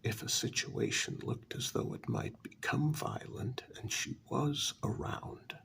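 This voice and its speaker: A man telling a story in a soft-spoken ASMR style, breathy and deliberate, with many dramatic pauses. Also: mid-range voice, wildly swooping pitch, a little hiss or hum. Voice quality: breathy half-whisper with heavy creak. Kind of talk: soft-spoken ASMR story narration, first-person role-play.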